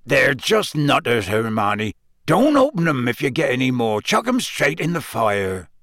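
A man putting on a big, kindly gamekeeper who drawls slowly with a rough, homely warmth. His voice sits in an ordinary middle register, recorded close and dry, and he never hurries a word. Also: medium pitch, wildly swooping pitch, no room sound, full character voice. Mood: reassuring. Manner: slow, soothing, dropped letters. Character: huge gentle gamekeeper, big warm man.

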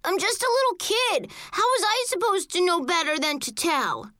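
A woman's voice: extremely high pitch, big pitch swings, moderate pace, sharp tone, no echo, clean studio sound, voice pushed up, exaggerated voice. A woman voicing a small kindergarten boy, a whiny tattletale who pleads and screams, in an audiobook story. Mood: whiny defensive.

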